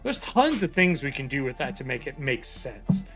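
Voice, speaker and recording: A man acting as game master in a casual tabletop session, excitable and loose, swearing freely as he explains the setting. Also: medium pitch, normal speed, soft background music.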